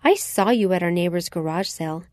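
A woman's voice: lowish voice, brisk, a little mellow, no echo, studio quality, natural voice. A woman voicing a mocking schoolgirl bully in a children's story. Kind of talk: children's story dialogue reading.

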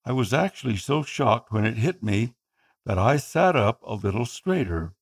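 Earnest gravelly man. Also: medium pitch, very animated. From Philippines. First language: Tagalog. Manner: earnest, measured reading. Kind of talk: scripted first-person narration.

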